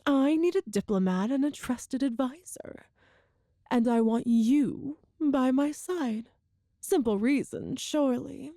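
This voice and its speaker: A woman playing a cruel, seductive evil empress in an ASMR roleplay drama, speaking with imperious, deliberate menace. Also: sits high, warm tone, no room sound, clean studio sound. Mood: haughty persuasion.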